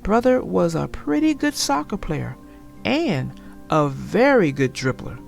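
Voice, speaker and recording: Slow, expressive woman reading a children's story. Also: fairly low pitch, vocal fry, soft background music. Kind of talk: expressive read-aloud storytelling.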